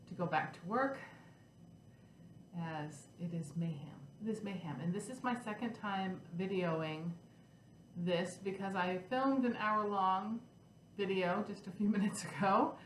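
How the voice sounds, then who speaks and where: A middle-aged woman with a stuffy head cold, chatting casually to her camera as a vlog host and then ranting in frustration. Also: mid-range voice. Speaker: flosstube host. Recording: echoey room with faint noise.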